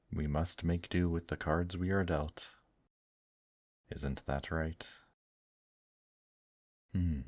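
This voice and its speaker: A man voicing a gentle, teasing male demon in an intimate ASMR roleplay, speaking softly and courteously to the listener. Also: rumbling low, husky, no room sound, heavily acted. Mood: wry, resigned. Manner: slow, soft, courteous, affectionate teasing with endearments. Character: gentle, teasing male demon brothel worker, tender and attentive.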